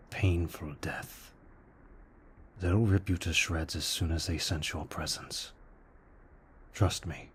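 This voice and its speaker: A man playing a tormented, courteous vampire in an ASMR roleplay, speaking formally in a hushed, breathy voice that often slips into a whisper. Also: low-pitched, a little hiss or hum. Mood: urgent, warning. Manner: formal, deliberate, brooding delivery. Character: tormented, courteous male vampire fighting his bloodlust. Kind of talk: ASMR roleplay, slow intimate monologue.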